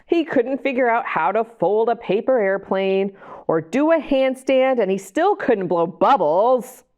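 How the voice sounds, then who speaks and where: A woman hosting storytime, reading a picture book to young children in an animated way, with chatty questions and asides to the listeners. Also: on the high side, a little slow, dull audio. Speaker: library storytime host and reader.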